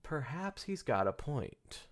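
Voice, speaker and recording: A man's voice: a little high, very animated, takes it slowly. A man hosting a casual comedy podcast, joking and rambling with some swearing. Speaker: podcast host.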